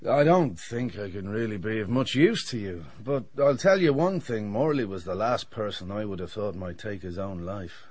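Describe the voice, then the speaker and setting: Wry, roguish dentist, dry studio sound. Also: male voice, on the low side, animated, normal speed, few pauses, a little mellow, no echo. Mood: frank, rueful. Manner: sardonic, flippant, talkative. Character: wry, roguish, hard-drinking male dentist. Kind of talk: radio drama acting.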